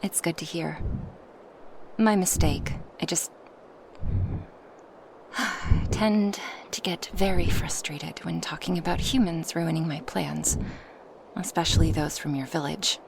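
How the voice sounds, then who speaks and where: Woman voicing a slow, creaky-voiced teasing dragoness. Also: sits low, steady tone, noisy background, exaggerated voice. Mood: startled, apologetic, then rueful. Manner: slightly formal phrasing, teasing, reassuring. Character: huge proud dragoness, teasing yet protective of her human. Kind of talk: ASMR roleplay monologue addressed to the listener.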